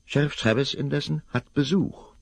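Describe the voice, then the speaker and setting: A man narrating a children's Western radio play in a measured, expressive storytelling manner. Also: a little high, gravelly, no room sound.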